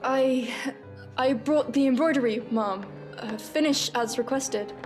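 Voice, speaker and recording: A woman's voice: high voice, unhurried, a little mellow, soft background music. A woman playing a timid, frightened young seamstress in an audio drama, hesitant and deferential. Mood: timid, nervous. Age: young adult.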